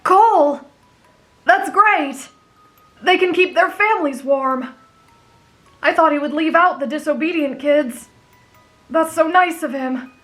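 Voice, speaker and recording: A high, gentle teenage boy hero played by a woman from an anime audio roleplay. He is earnest, kind and naive, explaining things sincerely over faint music. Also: slow, long pauses, poor audio. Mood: naive, cheerful.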